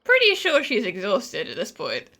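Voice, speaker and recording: A woman's voice: mid-range voice, moderate pace, heavily acted. A woman voicing a tiny, perky, blunt fairy companion with a childlike voice in a video game. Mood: cheeky, skeptical. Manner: animated, speaks in third person. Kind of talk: video game character acting.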